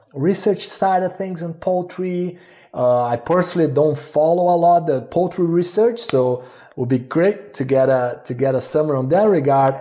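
A man's voice: mid-range voice, warm tone. A man hosting an interview in a casual, loosely phrased, conversational way. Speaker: podcast host, interviewer.

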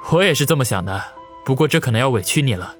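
A man voicing a calm, scheming younger gang leader in an audiobook, speaking with measured confidence. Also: mid-range voice, faint music behind, voice pushed up, natural voice. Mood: calm, calculating. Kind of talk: audiobook character acting.

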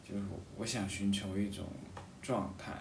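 Low-voiced man in an echoey room. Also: fairly even intonation, far from the mic. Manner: halting, thinking aloud, repeats phrases. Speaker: podcast guest talking about a hobby of seal carving. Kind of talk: casual conversation, reflective. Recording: roomy echo, off-mic, faint background noise.

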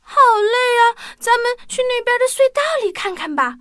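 A woman playing an excitable, curious small child in a children's audio drama, exclaiming in a wide-eyed, playful way. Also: extremely high pitch, normal speed, no echo, faint music behind. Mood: curious, amazed.

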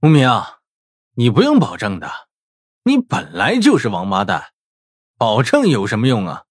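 A man voicing a mocking schoolboy rival in an audiobook, with a husky, slow, taunting drawl. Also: medium pitch, huge pitch swings, no room sound, studio quality. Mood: sneering. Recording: music under the voice.

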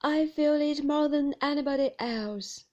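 A woman's voice: sits high, dark tone, muffled recording, pitched above the performer's own voice. A woman playing a moaning self-pitying widow. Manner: moaning, drawn-out and plaintive.

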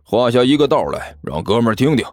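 A man voicing a sly, shameless monk con artist in an audiobook, slangy and smirking, quick to sputter when cornered. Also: medium pitch, wildly swooping pitch, darkish tone, husky, no echo, soft background music, voice pushed down, heavily acted. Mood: scornful, cocky.

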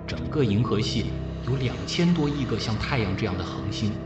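An actor playing a tender, protective uncle in a radio drama, patiently and gently explaining things to a child. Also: male voice, medium pitch, natural voice. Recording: echoey, loud music, background noise.

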